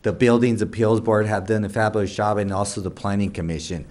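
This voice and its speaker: A man's voice: on the low side, fairly even intonation, fairly quick, darkish tone. A man chairing a city council meeting, talking his way through a long list with many restarts and asides. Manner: rambling, many restarts, lists items. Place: council chamber.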